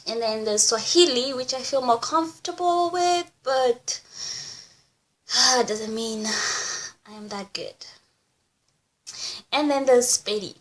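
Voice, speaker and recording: A woman's voice: fairly high pitch, slow pace, pauses often. A young woman from Kenya whose first language is Kikuyu, chatting on camera in a casual, self-conscious and upbeat way as she teaches phrases. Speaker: YouTuber.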